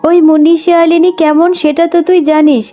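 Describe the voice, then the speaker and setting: A woman's voice: extremely high pitch, flat delivery, quick pace, dark tone. A woman voicing a scolding, hard-working mother fox in a children's cartoon, with a nagging, motherly manner.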